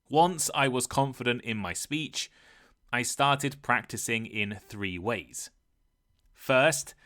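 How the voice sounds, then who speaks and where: Man, podcast host, bright tone, clear measured delivery, scripted teaching talk. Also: mid-range voice. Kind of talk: scripted educational monologue.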